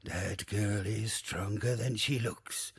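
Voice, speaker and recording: An actor playing a gruff, salty old undead pirate captain in a video game, swaggering and theatrical, with crude archaic sailor talk and a husky, gravelly voice. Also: male voice, fairly low pitch, steady tone, slow pace, no echo, clean studio sound, exaggerated voice. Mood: rueful, bitter. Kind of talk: video game character acting.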